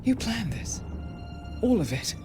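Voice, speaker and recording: A female handler briefing an agent in a spy game. She is composed and wry, with crisp formal phrasing and a lively, swooping intonation. A cinematic score plays behind her. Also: on the high side, a little slow, over music. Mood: tense, accusing. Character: poised, dry-witted female intelligence handler briefing an assassin. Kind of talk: scripted mission briefing, video game acting.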